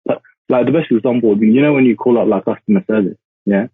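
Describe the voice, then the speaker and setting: Young man from india, rambling, lots of fillers, husky, tinny phone-like call. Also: mid-range voice, quick pace, no echo. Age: 20s. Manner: rambling, many "like" fillers, self-deprecating. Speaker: podcast guest, law graduate. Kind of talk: casual chat over a call. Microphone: calling in remotely.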